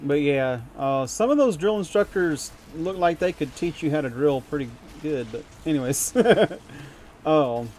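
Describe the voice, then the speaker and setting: A man's voice: a little high, expressive, a little slow, darkish tone, faint music behind. A man from the United States chatting with his viewers while gaming, rambling and chuckling and poking fun at himself. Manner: rambling, chuckling, self-deprecating. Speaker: streamer.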